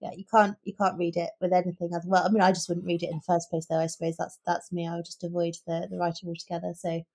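A woman's voice: on the low side, flat delivery, quick pace, hardly any pauses, a little mellow, slightly rough. A woman with a British accent co-hosting a podcast, discussing books in an opinionated, conversational way. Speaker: podcast co-host. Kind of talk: casual book discussion.

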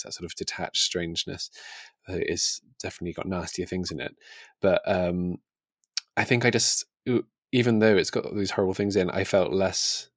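Low-voiced man co-hosting a book podcast. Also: vocal fry, no echo, studio quality. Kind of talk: casual book discussion.

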